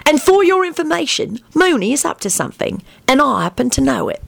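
A woman's voice: very high voice, very animated, moderate pace, fairly bright tone, voice pushed up, heavily acted. A woman voicing a haughty, suspicious young male wizard in a story, impatient and insistent.

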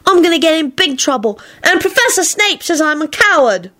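Storybook kid woman performing, stubborn and whiny, petulant, high-voiced. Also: lively intonation, moderate pace, a bit bright. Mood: gloomy sulk. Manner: plaintive, petulant. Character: sulky, stubborn young boy, whiny and defiant.

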